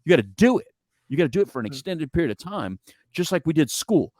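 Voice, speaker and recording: A man's voice: fairly high pitch, big pitch swings, fast, darkish tone, dry recording. A man co-hosting a casual talk show, giving blunt advice with teasing humor and repeated emphatic phrases. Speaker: podcast co-host.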